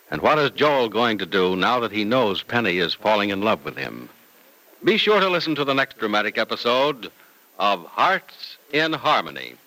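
A man's voice: mid-range voice, bright, forceful tone, rough recording. A man with an American accent announcing in an old radio style, with an emphatic, polished sales delivery. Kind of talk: vintage radio serial narration and ad reading.

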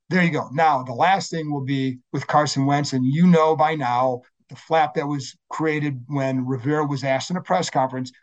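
Scratchy-voiced man hosting a podcast. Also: mid-range voice. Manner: conversational, self-correcting, many fillers. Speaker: podcast host. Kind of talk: solo sports podcast intro.

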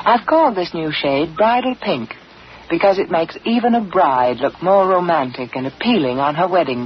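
A woman delivers a soft, persuasive 1940s radio commercial. Her pitch rises and falls freely, and the old broadcast sound is dull with faint hiss. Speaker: advertiser spokeswoman.

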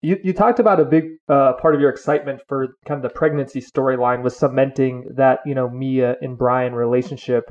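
A man's voice: mid-range voice, steady tone, fairly quick, hardly any pauses, darkish tone. Casual male podcast co-host, slightly dull sound. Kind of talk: casual conversational interview.

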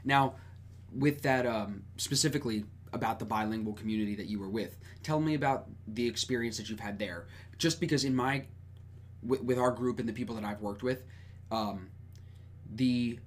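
An easygoing man hosts a casual podcast chat. His voice sits at an ordinary middle pitch, and he talks in a friendly, loose way, full of everyday fillers. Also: a little hiss or hum. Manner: relaxed, friendly, uses filler words like "like". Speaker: podcast host.

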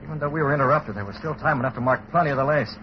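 Muffled, noisy old radio recording. A man plays a commanding masked crime-fighter who talks extremely fast, crisp and businesslike, in 1930s serial style. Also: mid-range voice, natural voice. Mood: resolute briefing.

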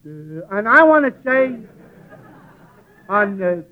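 An actor playing a dim-witted, well-meaning tavern regular who halts and stammers, in old-time radio comedy. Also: male voice, high voice, very animated, exaggerated voice. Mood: flustered. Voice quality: dopey, slow-witted delivery. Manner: halting, stammering, losing the thread. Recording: muffled vintage radio recording.

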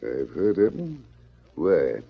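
An actor playing a stern, suspicious small-town sheriff in an old radio western, folksy and blustery. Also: male voice, sits low, huge pitch swings, slow, creaky, slightly rough, full character voice. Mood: guarded, surprised. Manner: folksy frontier drawl phrases, blustery, authoritative. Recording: dull old radio recording.

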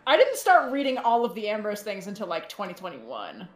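A woman's voice: medium pitch, wildly swooping pitch, a little fast. Woman chatting casually in a roomy echo. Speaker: podcast guest. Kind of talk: casual chat.

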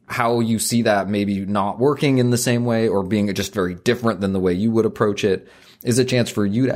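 A man co-hosting an advice podcast speaks in a somewhat low voice. He talks in a thoughtful, deliberate way and reads listener letters aloud at an even pace. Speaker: podcast co-host.

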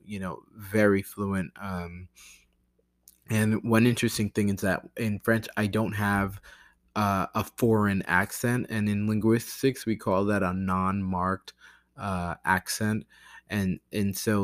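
An American man talking casually to camera in a rambling, halting way, often interrupting himself with "like" and "you know". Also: lowish voice, no room sound, clean studio sound. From United States. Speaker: language learner vlogger.

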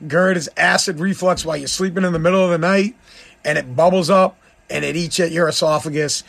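A middle-aged man with a hoarse, worn-out voice, rambling bluntly as he hosts his podcast. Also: a little high, fairly even intonation, a little fast, a bit bright. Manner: rambling, blunt, self-deprecating. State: hoarse, lost voice. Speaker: podcast host. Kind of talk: casual solo podcast talk.

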